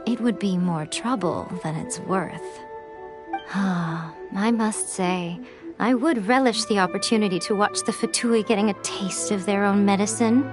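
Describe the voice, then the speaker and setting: An actress playing a teasing, elegant, centuries-old fox shrine maiden in a video game, sly, languid and condescending. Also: female voice, medium pitch, unhurried, natural voice. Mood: wry, aloof. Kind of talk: video game character dialogue. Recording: game music behind the voice.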